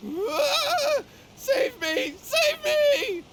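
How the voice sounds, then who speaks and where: A man's voice: very high-pitched, very animated, drawn-out words, noisy background, exaggerated voice. An actor playing a timid, remorseful young man in a video game, meek and hesitant. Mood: terrified.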